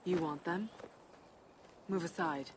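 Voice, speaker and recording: A woman's voice: on the low side, slow, a little hiss or hum. An actress playing a scornful, defiant woman in a video game. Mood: cold, commanding.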